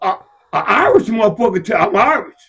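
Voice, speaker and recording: Impassioned husky man with a high voice. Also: big pitch swings, a little fast. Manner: impassioned, profane, rising to shouts. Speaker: podcast co-host. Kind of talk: heated casual discussion.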